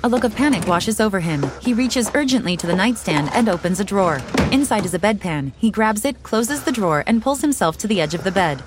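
A woman reading scripted audio description in a calm, even, precise way. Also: medium pitch, fairly quick, hardly any pauses, background noise. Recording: voice over soundtrack music.

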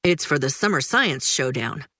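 A woman voicing a calm, matter-of-fact father. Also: a bass voice, moderate pace, dry recording, clean studio sound, voice pushed down, heavily acted. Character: calm middle-aged dad.

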